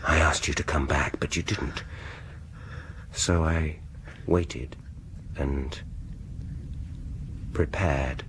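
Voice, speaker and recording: A low-voiced, vengeful dentist in a radio horror play. He speaks slowly and menacingly, gloating over each phrase and sinking into whispers, with some noise on the recording. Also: steady tone, fairly bright tone, exaggerated voice. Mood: gloating menace. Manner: theatrical, menacing, lingering, dropping to whispers. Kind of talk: radio horror drama acting.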